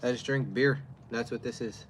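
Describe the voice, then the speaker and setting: A man talks casually on a livestream from outdoors, wandering from thought to thought as he answers his chat. His voice sits at an ordinary middle pitch, and faint outdoor sound runs behind him. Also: steady tone, normal speed, background noise. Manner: rambling, answers chat, loose and plain-spoken. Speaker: livestreamer reviewing beer. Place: outdoors in chilly air.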